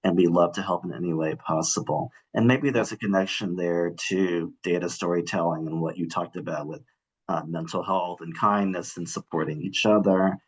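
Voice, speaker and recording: A man moderates an online session and reads listeners' questions aloud. His voice is very deep with a husky edge. He reads in a plain, even way, with small "uh" pauses. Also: poor audio. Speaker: webinar moderator. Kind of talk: reading audience questions aloud.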